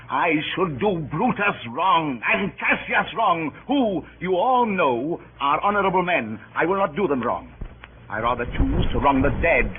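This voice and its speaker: An actor playing a grieving Roman orator stirring a mob, in theatrical, declamatory old-time radio Shakespeare style. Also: male voice, mid-range voice, natural voice. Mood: measured, insinuating. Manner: theatrical, declamatory, swinging from hushed grief to cries. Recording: muffled, hissy old radio recording.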